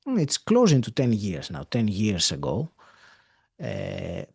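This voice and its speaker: A man in his fifties from Greece with a Greek accent, speaking English as a second language, answering a podcast interviewer in a thoughtful way with many pauses and fillers. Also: fairly low pitch, wildly swooping pitch, vocal fry, a little mellow, husky. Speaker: podcast guest. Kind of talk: interview conversation.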